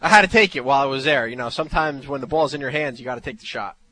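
Jersey-accented male radio host, bright tone, quick to tease. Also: medium pitch, a little hiss or hum. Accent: New Jersey. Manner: joking, teasing. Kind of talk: casual sports radio banter.

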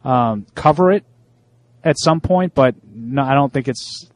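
A man's voice: mid-range voice, dry recording. A man co-hosting a sports talk show, chatting casually and reading out listener emails with plenty of fillers. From Italian-American. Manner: conversational, reads emails aloud with halting fillers.

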